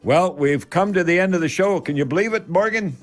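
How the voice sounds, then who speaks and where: A man's voice: high voice, a little fast, soft background music. An older man with a Canadian accent hosts a home improvement radio show in a folksy, rambling way, repeating words for emphasis. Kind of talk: folksy live radio talk with product tips.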